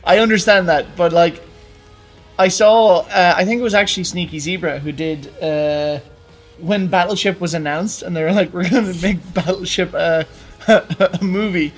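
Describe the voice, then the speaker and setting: A man co-hosting a podcast, chatting casually about films and games. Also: high-pitched. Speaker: podcast co-host.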